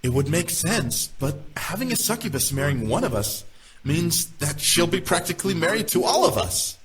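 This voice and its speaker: An actor playing a reasoning demon nobleman in a visual novel, measured and persuasive. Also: on the high side, sing-song, rough recording. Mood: reassuring. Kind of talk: visual novel character acting.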